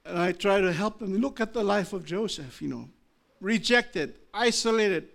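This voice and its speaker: A man with an Australian accent preaching a sermon as a pastor, moving from low, measured talk to loud, impassioned preaching. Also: gravelly.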